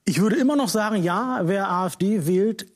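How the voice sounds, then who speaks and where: A man's voice: high voice, moderate pace. A man from West Germany, a talk show guest, making his case earnestly and insistently. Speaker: panel guest.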